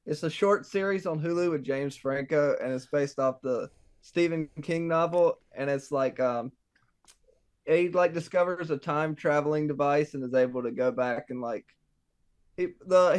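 Young male guest, higher pitch, smooth tone, halting with fillers. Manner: hesitant, many ums and likes. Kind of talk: casual chat.

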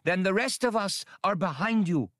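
A man's voice: high voice, expressive, normal speed, a bit bright, no echo, studio quality, pitched above the performer's own voice. A man voicing a battle-scarred female alien sea creature in an audiobook, bold and loyal. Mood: challenging, resolute.

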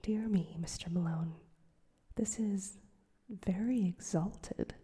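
A woman voicing a crabbed old male newspaper editor, gruff but kindly, in a breathy whisper. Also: fairly low pitch, dark tone, exaggerated voice.

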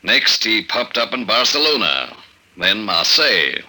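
An actor playing a businesslike government commissioner, brisk and authoritative, in 1950s radio drama style. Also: male voice, on the low side, big pitch swings, pushed and bright, full character voice, low-quality recording. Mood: urgent, businesslike. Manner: brisk, authoritative, matter-of-fact. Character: businesslike male government commissioner briefing his agent.

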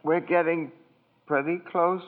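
A man's voice: a little high, fairly even intonation, unhurried, natural voice. A man playing a naive, eager and deferential apprentice angel with a hesitant manner in a 1940s radio drama. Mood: wondering, curious. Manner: hesitant, wondering. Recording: thin phone-like old radio recording.

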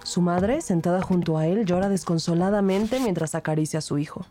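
A woman acting a composed, sympathetic police detective and narrator in an audio crime drama, measured and formal. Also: lowish voice, dark tone, close to an everyday voice. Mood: grave. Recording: music bed behind the voice.

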